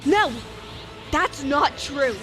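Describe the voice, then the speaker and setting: A woman playing an anguished young daughter, desperately shouting denials in a fan-made anime drama over music. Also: sits high, expressive, very slow, exaggerated voice. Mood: anguished fury. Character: anguished young daughter, a fighter fearing her own power. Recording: loud music behind the voice.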